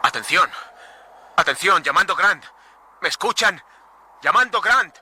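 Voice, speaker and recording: A man's voice: high voice, lively intonation, measured pace, bright, forceful tone, slight background noise, heavily acted. An actor playing a desperate stranded man in a suspense radio drama, speaking dramatically. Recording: dramatic music behind the voice.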